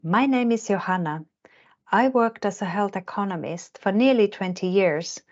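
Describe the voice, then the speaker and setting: A woman in her forties from Finland, a health economist giving a scripted lecture in a careful, measured way. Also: mid-range voice, darkish tone. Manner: measured, carefully paced delivery. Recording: slightly dull lo-fi recording.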